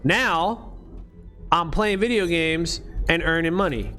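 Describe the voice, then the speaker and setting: A man in his late thirties with a slightly high voice, talking in relaxed, slangy, swear-heavy live-stream chat. He keeps calling listeners "dude" and "man". Soft music plays faintly behind him. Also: slow. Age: late 30s. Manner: laid-back, slangy and profane, dude-and-bro talk. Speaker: livestream host.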